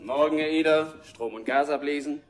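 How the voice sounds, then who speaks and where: A man acts out a city gas man in a children's radio drama. His voice is a little high, his manner jovial and official, yet quick to take offence. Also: very noisy, lightly acted. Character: touchy, jovial male municipal gas meter reader. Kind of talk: German children's radio play.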